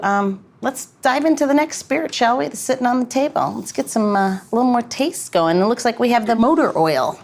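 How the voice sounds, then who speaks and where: A woman hosting a podcast in casual chat, with a playful, joking, conversational manner. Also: medium pitch. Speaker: podcast host.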